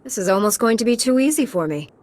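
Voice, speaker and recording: An actress playing a confident, composed young warrior knight in a video game, with a dry, self-assured manner. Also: female voice, on the high side, lively intonation, fast, a little mellow, natural voice. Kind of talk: dramatic video game acting.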